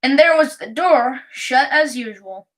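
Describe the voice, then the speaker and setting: A woman reads a children's story aloud in a high, bright voice. She goes slowly and carefully, with a halting delivery. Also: animated. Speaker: audiobook reader.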